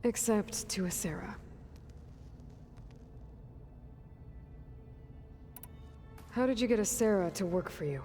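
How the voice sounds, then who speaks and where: An actress playing a guarded, headstrong young heroine in a video game, talking in a blunt, wry, probing way. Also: female voice, medium pitch, expressive, brisk, warm tone, lightly acted. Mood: probing, skeptical. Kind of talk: video game dialogue acting. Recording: faint music behind the voice.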